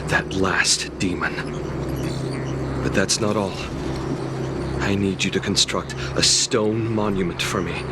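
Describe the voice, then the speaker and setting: An actor playing a dying old warrior master in a video game, wise, resolute and fatherly, with grave, measured speech. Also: low voice, heavy background noise. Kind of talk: dramatic game cutscene acting.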